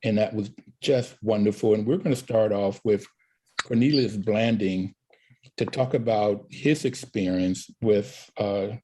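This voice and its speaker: Male show host, husky, mellow, casual anecdotal delivery. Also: lowish voice, rough recording. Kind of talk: casual tribute talk show hosting.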